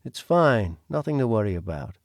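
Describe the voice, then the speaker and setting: A man voicing a steady, protective man who speaks calmly and firmly. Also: fairly low pitch, moderate pace, no room sound, clean studio sound. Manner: calm, firm.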